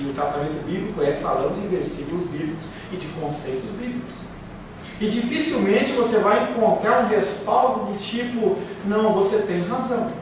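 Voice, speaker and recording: Brief: pastor, male, high voice, emphatic sermon delivery, distant mic. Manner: emphatic, rhetorical questions, acts out quoted excuses. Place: church hall. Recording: very echoey room, speaker far from mic, faint hiss.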